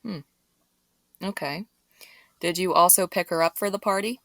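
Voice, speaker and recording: A woman's voice: fairly low pitch, moderate pace, vocal fry, a bit bright, dry recording. An actress playing a skeptical, probing female police detective in an audio drama.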